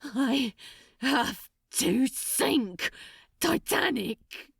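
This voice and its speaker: A woman's voice: high-pitched, expressive, very slow, vocal fry, a bit bright, breathy edge, no room sound, clean studio sound, full character voice. A woman voicing a male demon in an audiobook, despairing and straining, panting through clenched teeth. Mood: desperate. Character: anguished male demon, straining against forced orders. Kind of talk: dramatic fan fiction audiobook acting.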